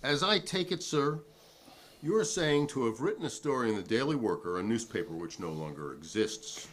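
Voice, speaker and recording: A man's voice: mid-range voice, lively intonation, normal speed, fairly bright tone, slight background noise, close to an everyday voice. A man playing a defiant, principled newspaperman who testifies formally and argumentatively at a 1958 hearing in a dramatic reading. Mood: defiant, measured.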